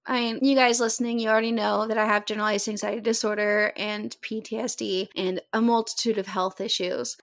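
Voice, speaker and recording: A woman with an American accent hosting a podcast, talking earnestly in casual chat with quick bursts and lots of "like". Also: medium pitch, level tone. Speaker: podcast host.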